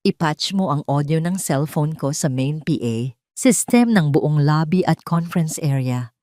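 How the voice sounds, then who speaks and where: A woman's voice: sits low, expressive, a little slow, warm tone, no room sound, studio quality. Woman reading a cold, commanding male doctor. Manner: cold, authoritative, terse orders.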